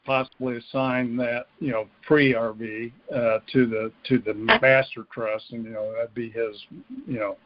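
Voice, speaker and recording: Even-toned male caller on muffled phone. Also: medium pitch, a little slow. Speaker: caller asking for advice. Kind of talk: casual phone question. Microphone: telephone. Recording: dull lo-fi phone call.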